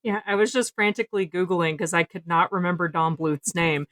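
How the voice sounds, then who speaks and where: A woman's voice: on the low side, fairly quick, fairly bright tone, no echo. A woman co-hosting a podcast in casual panel chat, speaking informally and with emphasis. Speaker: podcast co-host.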